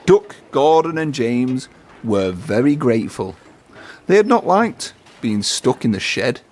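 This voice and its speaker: A man's voice: mid-range voice, big pitch swings, a little slow, a little mellow. A man narrating a children's storybook, reading aloud.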